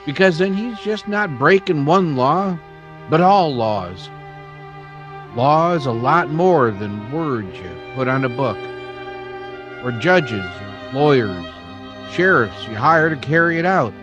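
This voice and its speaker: Guy acting a solemn indignant old west townsman, radio drama, emphatic and expressive, fiddle music under him. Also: mid-range voice, slow pace, muffled recording. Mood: grave, impassioned.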